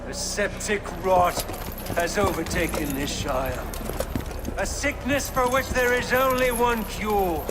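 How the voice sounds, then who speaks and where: Slow, high-pitched fanatical medieval bishop. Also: male voice. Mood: ominous, preaching. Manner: slow, grandiose proclaiming. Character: fanatical treacherous medieval bishop leading a massacre. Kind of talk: video game dramatic oratory. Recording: heavy ambient noise behind.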